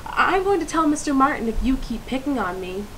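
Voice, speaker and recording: A woman's voice: high-pitched, fairly quick, pitched above the performer's own voice. A woman voicing a hurt, bullied schoolgirl in a storybook, speaking emphatically and plaintively. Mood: defiant. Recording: clear steady hiss.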